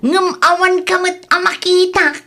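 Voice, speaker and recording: A woman's voice: very high-pitched, little pitch movement, measured pace. A woman with a Filipino accent playing a fed-up, bossy, nagging village woman in a comedy skit. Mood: scolding. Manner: nagging, scolding. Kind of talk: Ilocano comedy skit acting.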